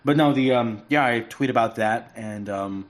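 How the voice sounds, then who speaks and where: A man from the United States, a podcast guest, speaking haltingly with many restarts over remote call audio that cuts in and out. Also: medium pitch. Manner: halting, with frequent restarts and fillers. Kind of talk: casual podcast chat.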